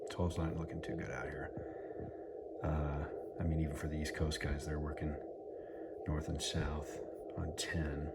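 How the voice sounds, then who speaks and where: A man's voice: extremely low pitch, completely flat delivery, measured pace, very noisy. An American man giving a casual running commentary as he operates a radio, thinking aloud and muttering to himself. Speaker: amateur radio operator and vlogger.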